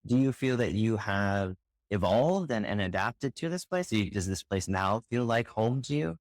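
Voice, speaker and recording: A man hosting a podcast interview, asking measured questions and affirming often. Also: fairly low pitch, animated, dry recording. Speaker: podcast host.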